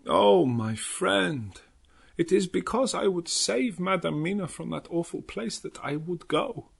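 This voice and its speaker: A man's voice: high voice, expressive, measured pace, gravelly, breathy edge, voice pushed up, heavily acted. A man voicing an earnest old professor with solemn, foreign-sounding phrasing, in an audiobook. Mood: pleading, grave. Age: older. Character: old Dutch professor, earnest, grave, kindly vampire hunter.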